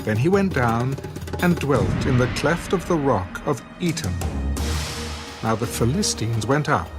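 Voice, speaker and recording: Male Bible narrator: dramatic, measured, highly inflected scripted reading, clear music bed underneath. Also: expressive.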